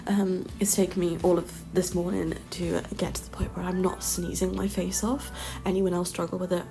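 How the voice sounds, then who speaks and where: A woman's voice: on the low side, little pitch movement, moderate pace, vocal fry, music in the background, poor audio. A woman chatting casually in a voiceover over her game build, slightly nasal from hay fever, with lots of ums and little laughs. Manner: chatty, rambling, frequent ums and soft laughs. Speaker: Sims builder and content creator. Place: home room with street traffic outside. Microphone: home voiceover mic.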